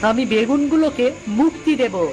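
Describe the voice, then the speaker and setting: A woman's voice: fairly high pitch, expressive, normal speed, music in the background, natural voice. A woman voicing a brave little boy in a cartoon, speaking in an earnest, imploring way. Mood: defiant. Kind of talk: cartoon voice acting.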